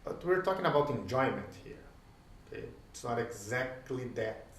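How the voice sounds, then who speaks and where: A man with a Portuguese-native lilt talks through his ideas slowly, stopping to ask rhetorical questions. He sits a little back from the mic in a roomy space, with faint ambience behind him. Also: medium pitch, animated, far from the mic, slight background noise. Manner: thoughtful, pauses often, rhetorical questions. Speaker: host. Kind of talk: reflective solo talk.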